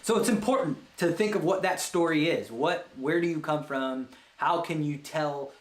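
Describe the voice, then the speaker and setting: A man with a slightly high voice talks through business ideas as the host of a read-along. His delivery is casual and rambling, as if working it out aloud, and he checks in with the listener. The recording is distinctly roomy and echoey. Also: moderate pace. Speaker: host of a business book read-along show, web agency owner. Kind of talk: casual explanatory talk with read-out book passages.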